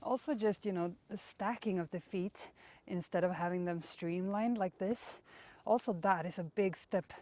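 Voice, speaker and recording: A woman's voice: on the low side, normal speed, hardly any pauses, mellow. A young woman, a non-native English speaker, chatting casually and encouragingly as she leads a workout outdoors. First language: not English. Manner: encouraging, conversational. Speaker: workout instructor and host. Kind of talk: casual workout instruction and chat.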